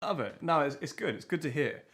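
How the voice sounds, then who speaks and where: A man hosting a relaxed talk show, his voice sitting a little high for a man. He chats loosely, rambling and circling back to restart his phrases. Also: fairly quick, breathy edge. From from abroad, living in New Zealand. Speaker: podcast host. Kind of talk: casual chat.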